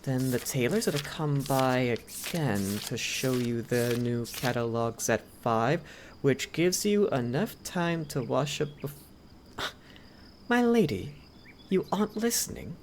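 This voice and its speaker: Woman playing a low-voiced, devoted, formal male butler. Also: animated, takes it slowly, a little mellow, slight background noise, full character voice. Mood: calm, attentive. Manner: polite, deferential, formal servant speech. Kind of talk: ASMR roleplay, scripted character acting.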